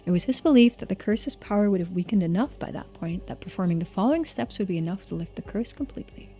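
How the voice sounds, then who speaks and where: A woman's voice: mid-range voice, animated, fast talker, warm tone. A woman streaming a game playthrough, reading on-screen text aloud and adding casual remarks. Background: game music, faint to clear.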